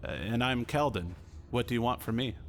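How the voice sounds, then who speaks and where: A man's voice: mid-range voice, brisk, a little hiss or hum. A man doing a casual amateur voice-over as the player's hero in a video game.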